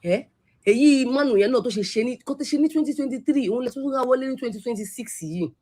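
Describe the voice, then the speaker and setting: A woman's voice: high voice, very fast, mellow. A Yoruba-speaking woman with a Yoruba accent, scolding at length in an angry online rant and switching between Yoruba and English. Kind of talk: angry social media rant.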